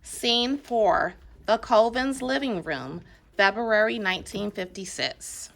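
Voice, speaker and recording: A woman's voice: mid-range voice, animated, slow, gravelly, fairly bright tone. A woman announcing scene headings from a readers theater script.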